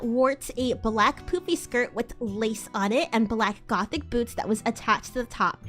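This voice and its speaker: A woman hosting a livestream dramatic reading of fan fiction, giggly and sweary, with asides. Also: a little high. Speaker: livestream host.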